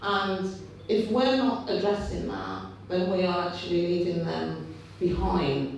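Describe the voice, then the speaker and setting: Male union speaker, big loud voice, impassioned and repetitive, heard far off in a very echoey hall with faint noise. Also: high-pitched, big pitch swings, a little slow, vocal fry. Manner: impassioned, repetitive rhetorical buildup. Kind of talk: rally speech at a union fringe meeting. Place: meeting hall. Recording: very echoey hall, picked up from afar.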